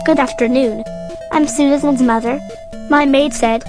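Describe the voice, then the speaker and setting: An actress playing a brisk, practical working mother with a no-nonsense manner in an old-time radio drama. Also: high-pitched, fairly even intonation, over music. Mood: cool, guarded.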